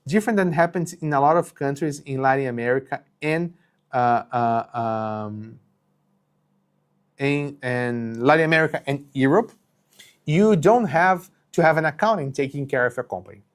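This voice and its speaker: A man's voice: on the high side, moderate pace. A man in his thirties whose first language is Portuguese, answering viewer questions in a live session with many fillers and restarts. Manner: conversational, many fillers and restarts, checks in with "okay?". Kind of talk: live Q&A explaining business rules. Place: home studio with shelves and green lighting.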